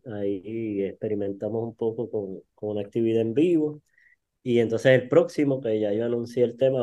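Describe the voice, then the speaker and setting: A man with a Puerto Rican accent, a returning guest who explains his project in a measured way. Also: medium pitch, warm tone, dull audio. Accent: Puerto Rican Spanish. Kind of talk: casual conversation.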